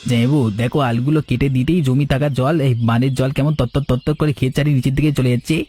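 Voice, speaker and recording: A man's voice: mid-range voice, fairly even intonation, fast, dark tone, soft background music, lightly acted. A man voicing an earnest, urgent young village lad, the younger brother in a cartoon story. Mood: worried. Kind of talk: Bengali cartoon story dialogue.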